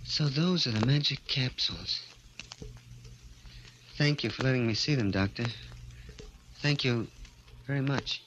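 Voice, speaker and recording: A man playing a desperate, excitable little man who pleads and stammers, in 1940s radio thriller style. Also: mid-range voice, measured pace, long pauses, highs cut off, lightly acted. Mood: timid, eager. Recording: noisy old 1940s radio recording.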